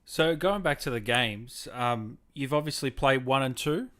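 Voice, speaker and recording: Casual man with a mid-pitched voice. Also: measured pace, a bit bright. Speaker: interviewer, gaming show host. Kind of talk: casual chat.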